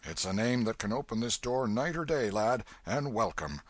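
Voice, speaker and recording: A man voicing a hearty, kindly old farmer who talks loudly and bluffly. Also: medium pitch, expressive, moderate pace, no room sound, lightly acted. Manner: loud, hearty and commanding.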